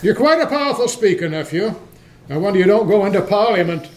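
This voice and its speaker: Guy doing a cranky old miser in a radio play, sneering and curt, highish voice that swings around a lot. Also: lively intonation, brisk, slight background noise, low-quality recording. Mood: curt, dismissive.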